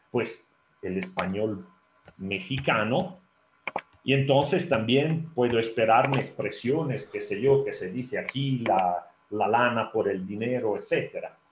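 Italian native guy lecturing on a video call, slow and halting, lots of ums, echoey room. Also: mid-range voice, a little hiss or hum. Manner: hesitant, many fillers and restarts, asks the audience. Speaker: telephone interpreter giving a talk. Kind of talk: lecture to students. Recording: roomy video call audio.

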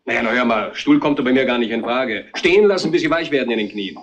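Retro radio play: brash interrogator cop, male actor, rapid delivery, lively swings in pitch, colloquial. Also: lo-fi sound, lightly acted. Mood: brash, cynical. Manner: blunt, swaggering, colloquial. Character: brash, hard-boiled male policeman who favours rough interrogation. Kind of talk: retro crime radio drama acting.

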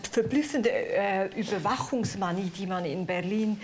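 A woman's voice: medium pitch, moderate pace, a little mellow, slight background noise. A woman from Switzerland with a Swiss accent, a literary critic on a televised panel, speaking in an engaged, descriptive way with frequent hesitations. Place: TV studio with a live audience.

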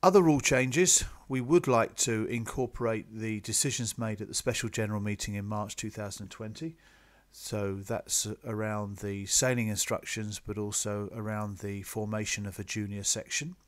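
A man presenting club business to members in a measured, businesslike, explanatory way, partly from a script. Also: on the low side, fairly even intonation. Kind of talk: slide presentation, semi-scripted explanatory talk.

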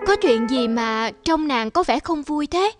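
A woman voicing a calm, conciliatory male god in a cartoon, soothing and moralising as a storyteller. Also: high voice, measured pace, over loud music.

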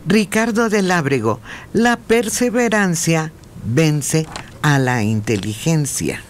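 A man co-hosting a radio show who reads listener messages aloud in a measured way. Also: a little high, animated, slight background noise. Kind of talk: reading messages on air.

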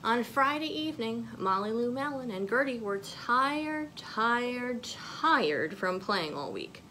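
Animated woman reading a children's storybook. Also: on the high side, slow pace, a little hiss or hum, rough recording. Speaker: children's librarian, storytime reader. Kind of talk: picture book read aloud to children. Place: small indoor room.

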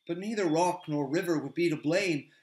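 Loyal humble hobbit servant, eager and rustic, pitched up, voiced by a man, roomy echo. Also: moderate pace, a little mellow, close to an everyday voice. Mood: earnest. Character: humble cheerful male hobbit gardener, loyal.

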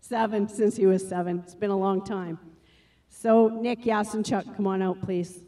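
A woman's voice: mid-range voice, steady tone, moderate pace, dark tone. A middle-aged woman, a martial arts instructor, speaking into a handheld microphone to an audience as she presents a live demonstration on a theatre stage. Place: large theatre stage, indoors.

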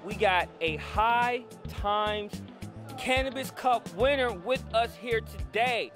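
Man, high voice, slangy hype-style interviewer, emphatic repetition, music behind, handheld mic. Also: slow. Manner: animated hype, slangy, repeats phrases for emphasis. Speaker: show host interviewer. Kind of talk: casual on-camera convention interview. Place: indoor convention hall booth. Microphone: handheld microphone.

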